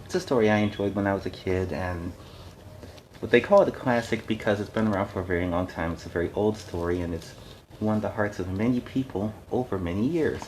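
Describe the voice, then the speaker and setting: A man's voice: lowish voice, a little mellow, low-quality recording. Man hosting story time, noticeable background noise. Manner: halting, informal, chatty with listeners. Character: friendly costumed superhero storyteller. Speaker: children's story-time host. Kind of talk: read-aloud storytelling with casual asides.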